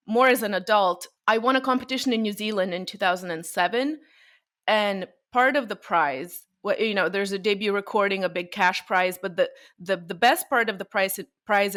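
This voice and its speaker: A woman's voice: mid-range voice, a bit bright. A woman from Bulgaria, a violinist, answering interview questions in a conversational, storytelling way and laughing often. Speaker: violinist guest.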